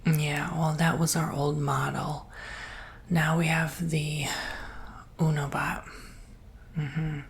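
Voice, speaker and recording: A man playing a soft-spoken, earnest robot salesman in a whispered ASMR roleplay, breathy and gently reassuring. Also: on the high side, level tone, slow, pauses often.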